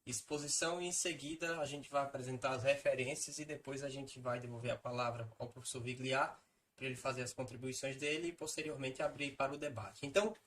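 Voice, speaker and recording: A man with a Brazilian accent delivers an academic presentation at a middling pitch. His wording is formal, broken by hesitant pauses. Faint noise sits behind the voice. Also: steady tone, fairly bright tone.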